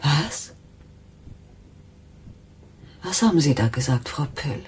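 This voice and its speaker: A woman playing an anguished, frightened wife and mother in a radio drama, speaking haltingly and intimately, at times near a whisper. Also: low voice, sing-song, darkish tone, heavily acted. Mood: shocked, probing. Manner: breathy, halting, dropping into whispers. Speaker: actress in a crime radio play.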